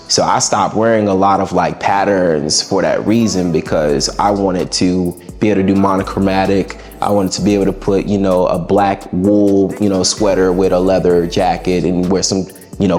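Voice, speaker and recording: Laid-back raspy young man. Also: sits low, a little mellow. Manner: laid-back, reflective, slangy. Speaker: musician guest. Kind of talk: casual interview answers.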